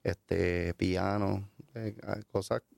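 A Puerto Rican man telling his life story as an interview guest, halting with many fillers and restarts. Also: low voice, steady tone, vocal fry, darkish tone, no room sound. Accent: Puerto Rican Spanish. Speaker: guest giving a testimony. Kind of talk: hesitant conversational storytelling.

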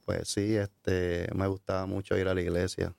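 A Puerto Rican man telling his life story as an interview guest, halting with many fillers and restarts. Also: low voice, completely flat delivery, vocal fry, a little mellow, no echo. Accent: Puerto Rican Spanish. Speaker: guest giving a testimony. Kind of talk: hesitant conversational storytelling.

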